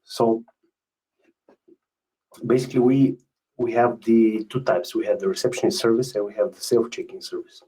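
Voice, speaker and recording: A man giving a webinar product presentation as a specialist, speaking in a hesitant, halting way and often repeating words. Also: medium pitch, fairly even intonation, mellow, breathy edge, low-quality recording.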